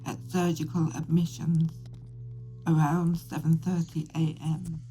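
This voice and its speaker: A woman speaking reflectively and haltingly, with pauses, as in a poetic audio documentary. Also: sits low, flat delivery, dark tone, low-quality recording. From northern England. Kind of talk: reflective, unscripted documentary talk with poetic passages.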